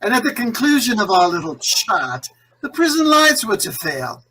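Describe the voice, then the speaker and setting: Husky, swooping, theatrical crime boss performed on stage. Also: medium pitch, big pitch swings, moderate pace, sharp tone, heavily acted, low-quality recording. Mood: sly, amused. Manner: suave, gloating, condescending, frequent chuckling. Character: Mister Carroll, scheming imprisoned male crime boss, theatrical and condescending. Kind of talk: 1940s radio acting.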